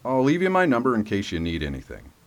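A man's voice: medium pitch, huge pitch swings, fast. A man playing a kindly, self-deprecating sheriff in an audio drama, with a wry, sarcastic way of talking. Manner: wry, sarcastic, mumbled asides.

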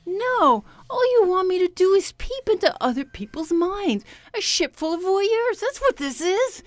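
A woman's voice: extremely high pitch, very animated, measured pace, no room sound, heavily acted. A woman playing a touchy, exasperated empath counselor in a comedic radio play, peevish and sarcastic. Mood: indignant. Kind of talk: comedic radio theatre parody acting.

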